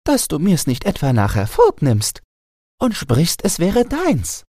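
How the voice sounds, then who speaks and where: A man's voice: on the high side, huge pitch swings, a little slow, warm tone, husky, no room sound, clean studio sound, pitched above the performer's own voice, heavily acted. A man voicing an eager, insistent little girl in a Christmas storybook, with a childlike, pleading, emphatic manner. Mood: determined.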